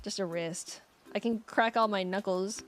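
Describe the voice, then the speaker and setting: A woman's voice: mid-range voice, unhurried, soft background music. A young woman streamer in casual chat with her viewers, rambling and giggly with lots of fillers, her voice getting scratchy.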